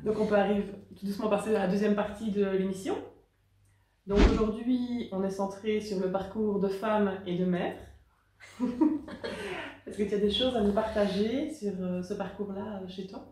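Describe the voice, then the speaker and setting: A woman hosting an interview, with a soft, encouraging manner and a mellow, dark tone. She sounds far from the mic in a very echoey space, with faint noise behind her. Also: mid-range voice, fairly even intonation, normal speed. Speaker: host. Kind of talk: interview questions.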